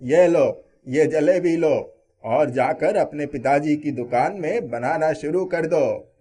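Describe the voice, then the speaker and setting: A man playing a mysterious wise old man in an animated moral story, stern but helpful, with a measured, admonishing manner. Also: fairly high pitch. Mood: reassuring.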